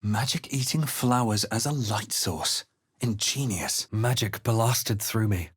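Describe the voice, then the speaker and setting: Brooding, low-toned fantasy game adventurer. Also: male voice, slow, breathy edge, no echo, clean studio sound. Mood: dry, curious. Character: brooding young male fantasy adventurer, wry and grave. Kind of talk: video game character lines and narration.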